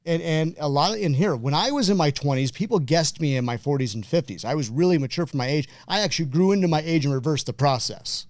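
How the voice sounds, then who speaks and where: Halting rambling man in his forties. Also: a little high, animated, a little mellow, no room sound. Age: 40s. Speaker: podcast host. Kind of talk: casual banter over drinks.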